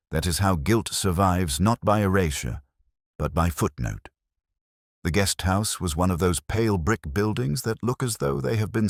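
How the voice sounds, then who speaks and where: A man with an extremely low voice narrates a first-person detective tale. His delivery is measured and literary, with plenty of rise and fall in pitch. The sound is dry, with almost no room around it. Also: no echo.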